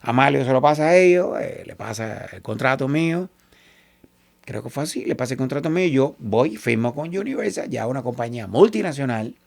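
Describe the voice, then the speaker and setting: A Cuban man with a Cuban Spanish accent, a salsa singer being interviewed. His voice is gravelly and sits at an ordinary middle pitch. He tells his stories with animation and keeps acting out what other people said. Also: fairly quick. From Cuba. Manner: animated, quotes others in lively asides. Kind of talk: casual interview storytelling.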